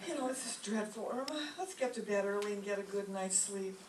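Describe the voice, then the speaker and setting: A woman's voice: medium pitch, a little fast, lightly acted. A woman playing a wry, long-suffering, sensible young roommate in an old-time radio comedy. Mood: wry, weary. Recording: noisy, echoey stage recording from far off.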